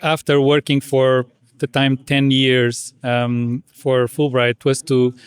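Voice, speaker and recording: A middle-aged man from Portugal, answering interview questions in a measured, thoughtful way with frequent restarts. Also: mid-range voice, little pitch movement, clean tone, no room sound. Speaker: association president. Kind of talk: conversational interview.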